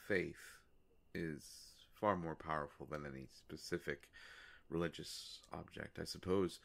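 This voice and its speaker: Man playing a slow, low, gravelly vampire. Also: exaggerated voice. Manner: slow, halting, musing, polite delivery with long pauses. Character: courteous, world-weary immortal male vampire, gently wry. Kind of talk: intimate roleplay audio monologue to the listener.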